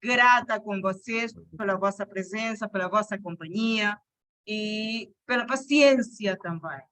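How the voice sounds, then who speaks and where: A woman's voice: mid-range voice, a little slow, fairly bright tone, low-quality recording. A woman hosting an online session as a teacher, effusive in her praise and talking over a video call. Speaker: host and Portuguese teacher.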